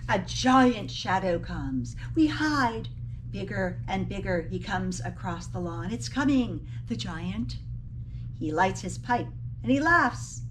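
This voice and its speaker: Slow, lively woman reading a picture book. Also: medium pitch. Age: middle-aged. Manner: slow, deliberate phrasing as if addressing young children. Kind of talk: expressive children's story read-aloud with short asides. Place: indoor classroom beside a large screen. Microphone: camera a few feet away. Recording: clearly noisy room recording, camera mic.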